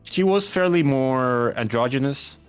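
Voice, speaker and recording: A man's voice: medium pitch, animated, measured pace, clean tone. Blunt, foul-mouthed man telling a story. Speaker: podcast guest. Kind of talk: casual podcast chat. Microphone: Discord call.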